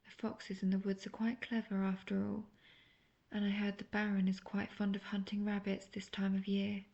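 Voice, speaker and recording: A woman playing a seductive, manipulative, obsessive witch in an intimate audio roleplay, coaxing and teasing the listener with soft talk that slips into near-whispers. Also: mid-range voice, monotone, moderate pace, vocal fry, lo-fi sound, close to an everyday voice. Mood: cold, righteous contempt.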